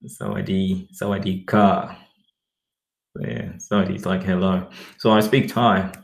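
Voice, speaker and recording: Low-voiced man rambling casually. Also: flat delivery, creaky. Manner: rambling, repeats okay, reads web text aloud slowly. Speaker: vlogger. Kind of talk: casual talk to camera.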